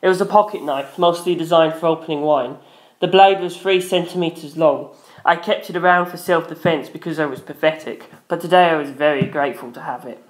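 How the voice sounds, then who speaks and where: A man's voice: fairly high pitch, fairly even intonation, brisk. A man reading a first-person story aloud as a dramatic narrator. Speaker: audiobook-style narrator. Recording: roomy echo.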